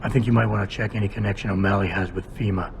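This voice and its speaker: Stoic cyborg investigator, low pitch, gruff, terse, dryly confident, video game acting, faint noise. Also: male voice, fairly even intonation, rapid-fire. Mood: matter-of-fact.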